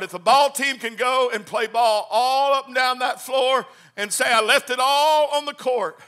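A man preaching a Pentecostal sermon, swinging from hushed, quick asides to shouted hallelujahs. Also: very high voice. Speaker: pastor. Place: church.

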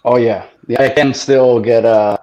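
An Italian-born man speaks as a guest, pausing and filling gaps while he hunts for words. His voice sits mid-range and comes through a dull, lo-fi recording. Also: mid-range voice, steady tone, a little mellow. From Italy. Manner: hesitant, lots of fillers, searching for words. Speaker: guest musician. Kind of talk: casual interview.

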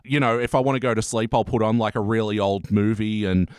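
A man's voice: lowish voice, fairly even intonation, fast talker, clear, resonant tone, dry recording, clean studio sound. A man hosting a casual review chat podcast, blunt and given to swearing. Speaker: podcast host.

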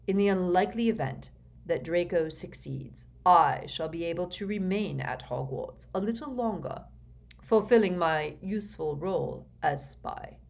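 Storybook role: controlled, cold male spy , performed by a woman narrator, measured and flat, with slow, quiet authority. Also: on the low side, gravelly. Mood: quiet, deliberate.

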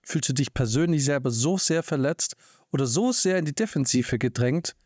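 A man's voice: a little high, normal speed, darkish tone, dry recording. Reflective man posing questions, dry mic. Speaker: podcast co-host. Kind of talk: casual podcast discussion.

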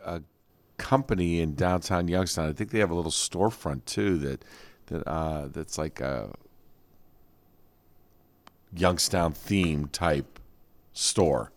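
A man's voice: low-pitched, slow, long pauses. A middle-aged man co-hosting a podcast, telling fond, rambling stories in casual chat. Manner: rambling storyteller, fond and nostalgic. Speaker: podcast co-host.

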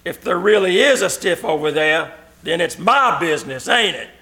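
Radio drama cop: male actor, forceful and creaky, blunt, skeptical, quick to wisecrack. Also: fairly high pitch, animated. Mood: dismissive. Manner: blunt, colloquial, dismissive. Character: brusque, skeptical, wisecracking old-time city policeman. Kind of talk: old-time radio suspense acting.

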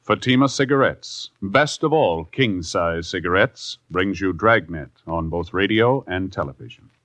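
A man's voice: sits low, lively intonation, a little slow, fairly bright tone. A man with an American accent, announcing formally and emphatically in the style of 1950s radio. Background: brass music.

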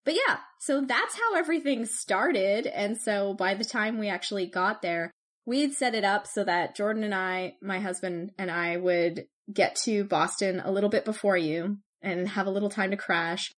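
Woman, podcast co-host, chatty, animated storyteller, casual. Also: mid-range voice, moderate pace. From Canada. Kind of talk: casual chat.

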